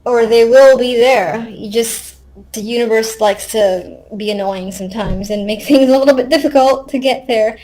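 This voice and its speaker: A woman's voice: a little high, moderate pace, dark tone, rough recording. A woman giving a casual, unscripted tarot reading, thinking aloud conversationally with wry asides. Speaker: tarot card reader.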